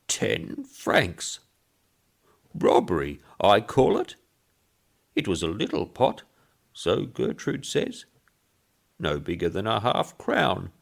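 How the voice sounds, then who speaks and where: A man's voice: on the low side, huge pitch swings, takes it slowly, gravelly, long pauses, voice pushed up, exaggerated voice. A man voicing a frail old Englishman in a storybook reading, chatty and rambling, with a piping, quavering voice. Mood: mildly indignant. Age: older. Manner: rambling, complaining gossip. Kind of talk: short story character voice.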